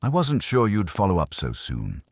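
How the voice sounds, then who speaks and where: A composed, guarded heiress, voiced by a male narrator in a mystery audiobook. She speaks in cool, poised remarks in a very deep voice with some lilt, recorded dry and close. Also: wildly swooping pitch, normal speed, no echo, exaggerated voice. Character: composed young-ish woman, guarded heiress.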